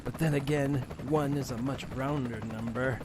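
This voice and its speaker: A man's voice: medium pitch, fairly even intonation, moderate pace, background noise, lightly acted. A man voicing a greedy, treacherous villain with a commanding, scheming manner in an audio drama.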